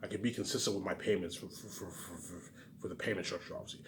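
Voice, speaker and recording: A man rambling in a casual monologue, repeating himself, jumping between loud bursts and mumbled trailing endings. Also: low-pitched, steady tone, fast talker, noisy background. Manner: repetitive, keeps saying "man" and "obviously". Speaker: vlogger.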